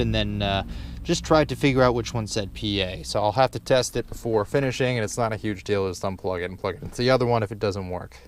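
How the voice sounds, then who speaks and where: A man's voice: fairly low pitch, a little hiss or hum. A young man narrating a casual DIY how-to vlog inside a car, with a wry, self-deprecating manner. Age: young adult. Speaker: DIY car project presenter.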